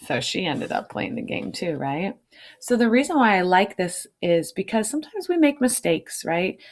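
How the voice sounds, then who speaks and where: A woman's voice: medium pitch, sing-song, moderate pace. A woman reading a children's storybook aloud, adding informal asides and checking in with her listeners. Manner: checks in with listeners using questions.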